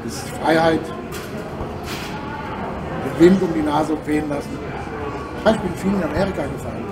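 A middle-aged man speaking bluntly and eagerly in an interview in a showroom. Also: a little high. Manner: blunt, enthusiastic. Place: dealership showroom indoors. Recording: echoey room, far from mic, loud background noise.

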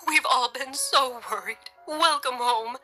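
A woman's voice: sits high, slow pace, sharp tone, faint music behind, voice pushed up, full character voice. A woman voicing a kindly, emotional older woman in an audiobook, gushing and tender as she fusses over family. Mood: tearful relief.

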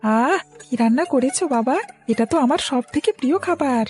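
A woman acting as a young cartoon schoolboy. The voice is very high, eager and obedient, with light music in the background. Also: moderate pace, a little mellow, close to an everyday voice. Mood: cheerful, eager. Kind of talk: Bengali cartoon story acting.

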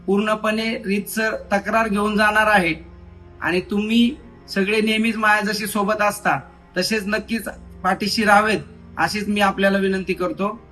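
A man's voice: high voice, pushed and bright, low-quality recording. A man with a Maharashtrian accent speaking as a businessman, making a direct appeal to viewers.

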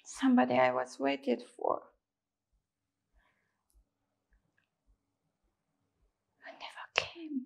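Woman acting despairing grown daughter in a stage play, highish creaky voice, slow n halting w long pauses. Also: fairly even intonation, heavily acted. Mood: anguished, resigned. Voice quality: husky, breathy, creaky. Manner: halting, deliberate, long pauses between short phrases. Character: despairing, resigned adult daughter confronting her mother. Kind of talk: slow dramatic stage monologue.

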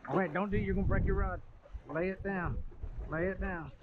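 A man out on a jetty, recorded dull and muffled with loud noise of wind and water around him. He talks like an excitable fishing host, in short repeated calls, with a high-pitched voice for a man. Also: fairly quick. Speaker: host of a fishing vlog, coaching children. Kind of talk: casual outdoor fishing chat and shouted coaching. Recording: muffled, very noisy outdoor recording.

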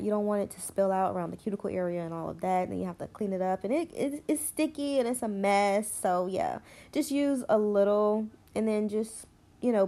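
A woman's voice: medium pitch, warm tone. Stuffy-nosed chatty woman vlogger. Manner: rambling, chatty, lots of fillers. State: stuffy, congested. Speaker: nail tutorial vlogger. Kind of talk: casual voice-over tutorial.

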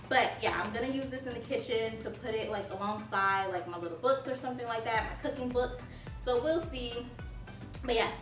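Young woman vlogger from Haiti, bright, chatty and bubbly, seated on a couch, recorded roomy from a distant camera mic. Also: on the high side. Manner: chatty, bubbly, talks to viewers with many "like"s. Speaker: home decor vlogger. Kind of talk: casual haul video. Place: living room, seated on a sofa.

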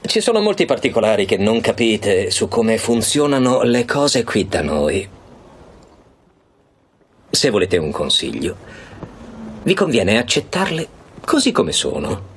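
A man's voice: on the low side, sing-song, measured pace, long pauses, a little hiss or hum. An actor dubbing solemn, commanding male figures with cool menace in film and series. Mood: cool, advising. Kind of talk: dubbed film and series acting.

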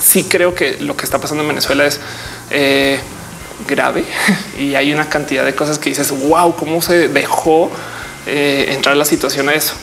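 A woman's voice: sits low, steady tone, normal speed, creaky, a bit bright, slight background noise, low-quality recording. A woman in her thirties chatting casually to camera as a YouTuber, informal and reflective, wandering off on tangents. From Colombia. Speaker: YouTuber host answering viewer questions. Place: indoors, small office.

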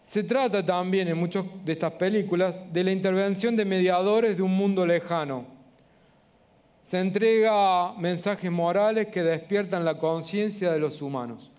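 High-voiced Argentine man lecturing. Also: darkish tone. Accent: Argentine, from Córdoba. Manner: measured, earnest, with frequent hesitations. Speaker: guest lecturer. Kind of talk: public science talk. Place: auditorium with a seated audience.

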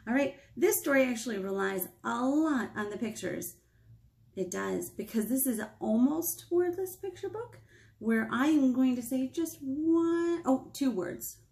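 An American woman in her thirties leading a picture-book storytime for small children, playful and expressive, asking them questions. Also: high-pitched, measured pace, creaky. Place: small indoor room with whiteboard.

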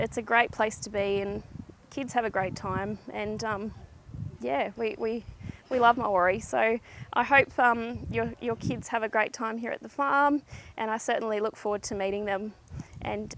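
A woman with an Australian accent and a slightly high voice. She speaks like a teacher introducing a place to visitors, informally and straight to camera. She is recorded outdoors on a clip-on mic. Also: fairly bright tone, a little hiss or hum. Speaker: high school agriculture teacher. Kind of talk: informal virtual tour presentation to camera. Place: outdoors on a school farm. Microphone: clip-on lapel mic.

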